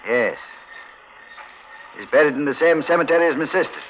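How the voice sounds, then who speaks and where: A man's voice: on the high side, fairly quick, close to an everyday voice. An actor playing a suspicious, menacing plainclothesman, clipped and insinuating, in 1940s radio mystery style. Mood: cagey, menacing. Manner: clipped, tough-guy, insinuating. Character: suspicious, menacing plainclothes policeman, terse and cagey. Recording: noisy, dull 1940s radio recording.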